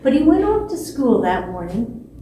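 A woman in her sixties telling a children's story expressively, with dramatic pauses and repetition. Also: fairly high pitch, very animated, mellow. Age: 60s. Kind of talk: animated children's storytelling from memory. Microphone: distant camera microphone. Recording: echoey stage, distant mic, faint noise.

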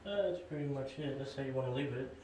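A man's voice: mid-range voice, fast, noisy background. A middle-aged man giving casual, practical how-to commentary in short plain phrases while he works, presenting as a tradesman. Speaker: tradesman presenting a renovation video. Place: small tiled bathroom under renovation, indoors. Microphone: camera a few steps away. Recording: roomy echo, distant camera mic, music.

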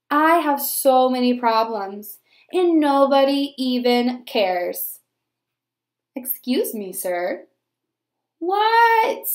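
A grumbling little boy penguin from a picture book, acted out by a woman. The voice sits high and complains in an exasperated whine. It rings in an echoey room. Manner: whiny, exasperated. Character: grumbling, put-upon young male penguin full of complaints. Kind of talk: storybook read-aloud.

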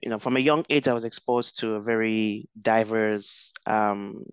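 A young man from Nigeria talks in a low, smooth voice, thinking aloud with restarts and fillers. He speaks into a headset mic, and the sound is dry and close. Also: fairly even intonation, moderate pace, no room sound, dull audio. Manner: thoughtful, with frequent restarts and fillers. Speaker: guest researcher. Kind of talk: casual interview over video call.

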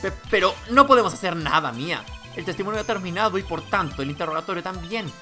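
A man's voice: high-pitched, animated, fast talker, pushed and bright, soft background music, voice pushed up, full character voice. A man voicing an anxious, earnest young male defense lawyer in a courtroom video game. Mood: startled, anxious.

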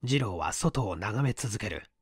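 A man's voice: on the low side, lively intonation, unhurried, breathy edge, dry recording, studio quality. A man narrating mystery fiction from a script as an audiobook reader, in a dramatic manner.

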